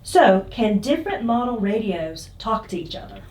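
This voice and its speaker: The voice is clearly roomy and echoing, a woman at a medium pitch. She speaks casually as an interviewer, putting simple newcomer questions to an expert. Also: sing-song, moderate pace, darkish tone. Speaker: interviewer asking beginner questions.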